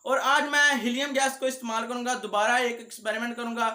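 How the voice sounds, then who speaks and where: High-pitched young man, echoey room. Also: level tone, a little fast, bright, forceful tone. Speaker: video creator, host. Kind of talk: vlog talk to viewers.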